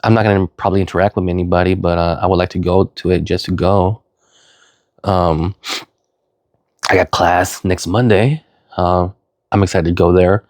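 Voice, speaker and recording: A middle-aged man with a sniffly cold, talking in a rambling, earnest vlog monologue, full of fillers and "you know", now and then jumping into loud high emphasis. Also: fairly even intonation, moderate pace, pauses often, dry recording, clean studio sound. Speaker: vlogger.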